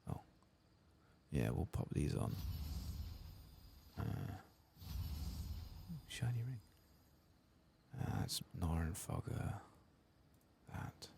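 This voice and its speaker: Male game streamer, ASMR style: extremely low, heavily creaky, soft-spoken and muttering, sometimes whispering. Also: very animated, extremely slow, long pauses, slight background noise. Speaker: video game streamer doing a playthrough.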